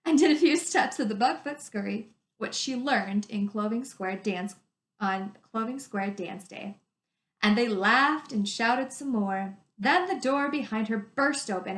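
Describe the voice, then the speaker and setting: A woman's voice: fairly high pitch, lively intonation, a little slow, fairly bright tone, reverberant. A woman reading a storybook aloud as a teacher, in a narrating style with casual wording.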